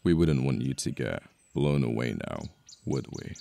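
A man acting a possessive yet protective mafia boss in an ASMR romance roleplay, with a British accent, a deep gravelly voice and an intimate manner full of sighs and pauses. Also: sing-song, mellow, slight background noise, heavily acted. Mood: concerned, coaxing. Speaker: voice actor. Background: birdsong, outdoor ambience effects.

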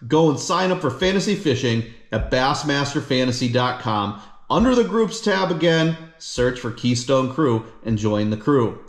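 An upbeat man in his thirties addresses his viewers straight to camera from a living room. His scripted delivery is of ordinary pitch and rings with a noticeable room echo. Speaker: fishing channel host. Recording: echoey room.

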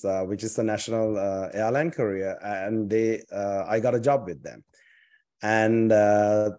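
A man's voice: fairly low pitch, darkish tone. A man in his forties with a Sri Lankan accent tells his story as a podcast guest, wandering from point to point. He leans on fillers and restarts mid-sentence. He talks over a video call from a home office. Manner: rambling, many fillers and restarts. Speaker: guest, company founder. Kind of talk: casual storytelling interview. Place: home office with dark bookshelves.

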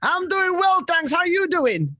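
A man's voice: extremely high pitch, very animated, moderate pace, pushed and bright, no echo, soft background music. A young man hosting an upbeat radio interview, speaking into a studio microphone. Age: young adult. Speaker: radio show host. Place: small radio studio indoors. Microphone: studio microphone with headphones.

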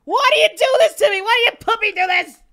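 A performer voicing an excitable young male anime hero, hosting a reaction video with melodramatic yelling and wailing. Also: extremely high pitch, quick pace, exaggerated voice. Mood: outraged. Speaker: reaction channel host. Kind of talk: over-the-top reaction commentary. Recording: music playing behind.

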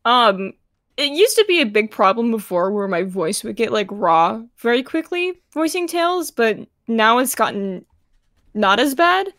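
A woman's voice: fairly high pitch, big pitch swings, unhurried, a bit bright, no room sound, studio quality. A woman voice actor chatting casually as she answers on a fan dub panel. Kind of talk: casual online panel chat.

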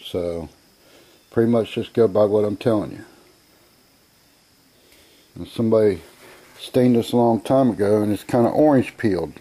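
A man's voice: fairly low pitch, normal speed, pauses often, mellow, breathy edge. An American man presenting an item as a seller in an informal sales video, blunt and sure of himself, with folksy phrasing. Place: indoor room, narrating from behind a handheld camera.

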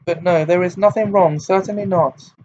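A shrill, quavering witch of a teacher, as a male narrator puts her on, wallowing theatrically in wounded pride. Her voice climbs well above the reader's own, lifting to near breaking. The storybook reading is dull and lo-fi, with a faint hiss. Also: unhurried. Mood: tearful, indignant. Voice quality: high-pitched, hysterical, quavering. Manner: theatrical, wounded self-pity. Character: overwrought eccentric middle-aged female divination teacher.

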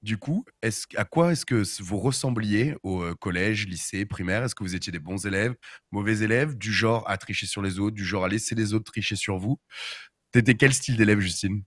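A man's voice: on the low side, normal speed, fairly bright tone. A man in his thirties hosting a casual online talk, putting questions to guests in a conversational way. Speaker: host. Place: small room at home, indoors.

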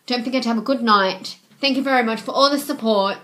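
A young woman speaks to her webcam from a small bedroom, her voice roughened by a cold. She talks earnestly and a little rambling, filling gaps with "um" and thanking viewers over and over. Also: on the high side, quick pace, fairly bright tone. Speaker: vlogger. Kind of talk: casual thank-you messages to viewers. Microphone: webcam at desk.

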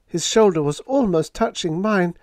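A man voicing a frightened, sharp-tongued young woman whose voice runs high with anxiety. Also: moderate pace, dry recording, studio quality. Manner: high-pitched, anxious, pleading.

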